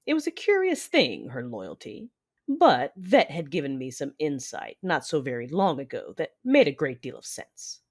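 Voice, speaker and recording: Casting: actress for armored dark-side matriarch, imperious and calculating, narrates dramatically with wide pitch swings. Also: female voice, slightly rough, exaggerated voice. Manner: measured, imperious. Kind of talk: first-person dramatic narration.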